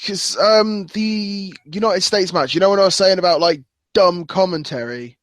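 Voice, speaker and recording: A man co-hosts a podcast and adds short, easygoing remarks to the casual talk. His voice is a touch high and recorded dry and close. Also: normal speed, no room sound. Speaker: podcast co-host. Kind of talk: casual chat.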